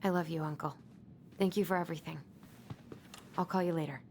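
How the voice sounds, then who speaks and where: A woman's voice: low-pitched, fairly even intonation, a little fast, a little mellow, slight background noise. An actress playing a determined, wounded young woman searching for answers in a video game, speaking earnestly and directly. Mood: earnest, resolved. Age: young adult. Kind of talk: naturalistic video game acting.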